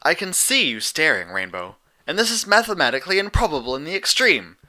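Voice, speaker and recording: A man's voice: on the high side, sing-song, brisk, pushed and bright, voice pushed up, full character voice. A man voicing a studious, fussy young female unicorn pony with precise, wordy phrasing in a story reading. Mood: exasperated.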